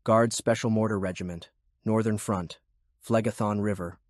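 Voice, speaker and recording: A man with a husky voice reading scripted story narration for an audiobook. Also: lowish voice, fairly even intonation, normal speed, darkish tone, no echo, clean studio sound.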